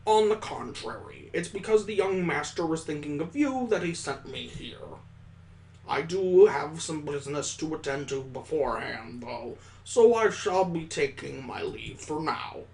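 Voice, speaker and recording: A man voicing a courteous, formal elderly elf mentor in a visual novel read-aloud, speaking in a stately, deliberate way. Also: on the high side, animated, creaky.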